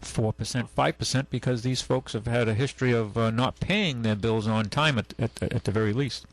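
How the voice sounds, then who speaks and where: Male call-in host, gravelly, blunt, folksy; dry close mic. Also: mid-range voice, normal speed, no echo. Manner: blunt, folksy, talks with callers about car money. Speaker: radio call-in show host. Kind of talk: call-in radio chat.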